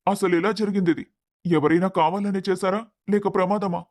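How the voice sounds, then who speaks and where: A man's voice: high voice, fairly even intonation, brisk, a little mellow, natural voice. A man playing a composed, concerned middle-aged brother-in-law in a family story. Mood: concerned, placating. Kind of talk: story acting.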